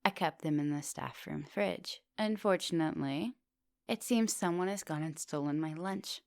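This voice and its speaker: A woman playing a coaxing, soft-dominant vampire professor in an intimate ASMR roleplay, speaking with polite, formal phrasing. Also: deep voice, normal speed, dry recording, clean studio sound. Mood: polite, explaining. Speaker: teacher.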